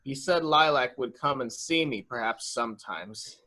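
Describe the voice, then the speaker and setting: A man playing a childlike, simple and excitable young man in a murder mystery reading, rambling and repeating himself innocently. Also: mid-range voice, normal speed. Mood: anxious, naive. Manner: guileless, rambling, repetitive.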